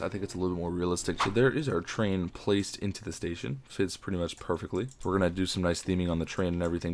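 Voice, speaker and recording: A young man with a sore throat and a raspy voice, chatting casually as he builds in a game. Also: sits low. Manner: chatty, enthusiastic, frequent fillers. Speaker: game video creator. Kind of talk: casual commentary while building.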